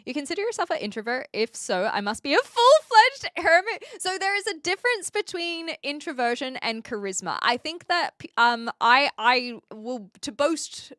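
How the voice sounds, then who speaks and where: A woman livestreamer with a bright, forward voice in a very dry, close sound. Her accent is a neutral blend of Australian and British, with carefully shaped words. She chats casually and animatedly, often joking with her viewers. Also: high voice, normal speed, hardly any pauses, no echo. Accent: neutral Australian-British mix. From New Zealand, later Australia and the UK. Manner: animated, chatty, jokey, carefully articulated. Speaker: livestream host.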